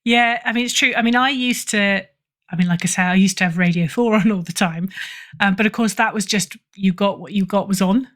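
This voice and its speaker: A woman's voice: medium pitch, fairly quick, fairly bright tone. Chatty middle-aged British woman. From United Kingdom. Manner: chatty, often rephrasing mid-sentence. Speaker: podcast guest, fiction editor. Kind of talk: casual interview chat.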